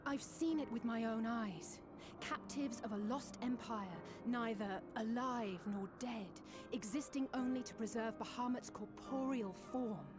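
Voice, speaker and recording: A woman's voice: on the high side, a little slow, music in the background, lightly acted. An actress playing a grave, resolute woman in a fantasy game, speaking formally and with emphasis. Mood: grim. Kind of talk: dramatic fantasy game acting.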